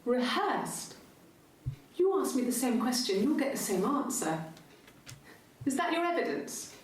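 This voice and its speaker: An actress playing a defensive, sharp-tongued woman under police questioning, answering in guarded, clipped phrases in a naturalistic style. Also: sits high, very animated, unhurried. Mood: indignant, defiant. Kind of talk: naturalistic recorded interrogation acting. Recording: echoey, distant, noisy interview-room tape.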